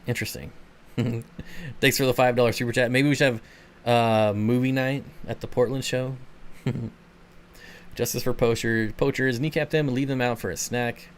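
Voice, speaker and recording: A man's voice: medium pitch, steady tone, moderate pace, clean tone. A man hosting a casual livestream, answering viewer comments in a laid-back, rambling way and chuckling often. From Michigan. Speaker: channel host.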